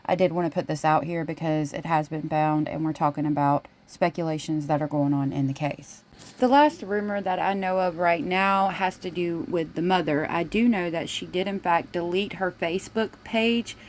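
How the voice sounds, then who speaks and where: A woman's voice: on the low side, normal speed. A woman hosting a true-crime video, giving informal commentary on a case.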